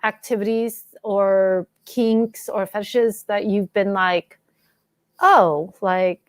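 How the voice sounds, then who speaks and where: Female interview host, middle pitch, slow-paced informal questioning, dry sound. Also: steady tone, a little mellow, smooth, dry recording. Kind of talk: casual, conversational interviewing.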